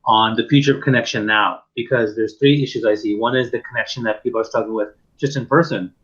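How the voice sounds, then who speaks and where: A man's voice: medium pitch, steady tone, fast talker, fairly bright tone, highs cut off. A man hosting an interview who speaks thoughtfully and lays out his points in order, with frequent fillers. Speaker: interview host, keynote speaker. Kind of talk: casual interview conversation.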